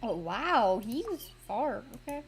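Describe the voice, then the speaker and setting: A woman's voice: on the high side, big pitch swings, a little slow, faint music behind. A woman doing casual gameplay commentary as a streamer. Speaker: game streamer.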